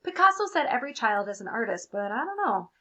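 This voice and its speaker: A woman's voice: a little high, sing-song, fast talker, fairly bright tone. A woman voicing a desperate, indignant chunky toddler's crayon pleading in a storybook.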